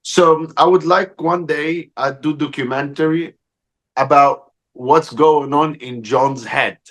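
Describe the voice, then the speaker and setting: A man with an Egyptian accent interviewing a musician, enthusiastic and halting in his English. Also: a little high, steady tone, fairly bright tone. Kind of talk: casual interview.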